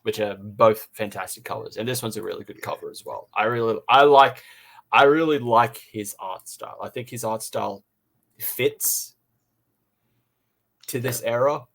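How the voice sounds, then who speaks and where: A man co-hosting a casual review podcast, talking haltingly and repeating phrases as he thinks aloud. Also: lowish voice, vocal fry, fairly bright tone.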